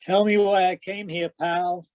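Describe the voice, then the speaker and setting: Slow, high-voiced menacing gangster. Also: male voice, little pitch movement, darkish tone. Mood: taunting, cocky. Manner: slow, taunting gangster slang, calls people pal. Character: bald male gunman, menacing, taunting ex-convict killer. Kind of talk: noir radio-play acting.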